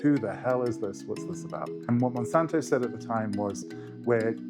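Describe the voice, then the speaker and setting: A man's voice: mid-range voice, a little fast, over loud music. A man who grew up in the UK, a guest researcher speaking in a thoughtful interview, often restarting his sentences. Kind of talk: conversational interview.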